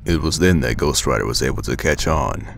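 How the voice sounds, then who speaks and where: A man with a deep, gravelly voice reading scripted story narration for an audio drama. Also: lively intonation, fairly quick, fairly bright tone. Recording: music behind the voice.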